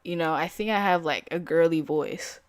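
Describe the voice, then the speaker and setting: A woman's voice: low-pitched, moderate pace, no room sound. A young woman chatting casually with viewers while trading live, full of fillers and slang. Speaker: day trader streaming live. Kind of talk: casual live-stream chat.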